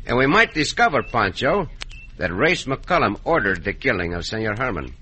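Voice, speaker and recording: An actor playing a courteous, confident Mexican cowboy hero in a radio western, speaking formally with a Mexican accent. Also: male voice, mid-range voice, big pitch swings, background noise, lightly acted. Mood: calm, scheming. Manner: formal, courteous, deliberate phrasing. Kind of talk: old-time radio western acting.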